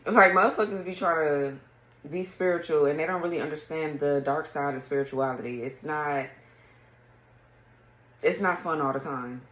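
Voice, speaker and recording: Woman tarot reader, raspy morning voice, low register, roomy sound, casual chatter with frequent throat-clearing. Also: normal speed, fairly bright tone. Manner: chatty, clears throat often, reads card texts aloud. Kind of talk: casual tarot reading to camera.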